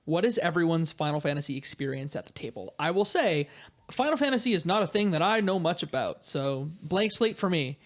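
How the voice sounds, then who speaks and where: A man's voice: a little high, animated, a little fast. A man hosting a panel podcast with casual, chatty banter. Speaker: podcast host.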